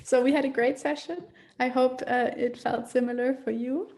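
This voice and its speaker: A woman's voice: sits high, fairly even intonation, moderate pace, darkish tone. A woman filmmaker who was born in Germany and grew up in Ethiopia, speaking reflectively in an online panel over a video call.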